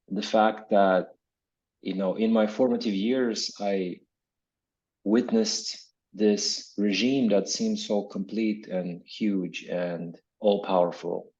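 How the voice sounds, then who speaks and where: Low-voiced man from Kazakhstan, reflective. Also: slow pace, a little mellow, lo-fi sound. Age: 40s. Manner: reflective, explanatory, often tags phrases with "right?". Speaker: interviewed academic guest. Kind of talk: conversational interview answers with personal recollection.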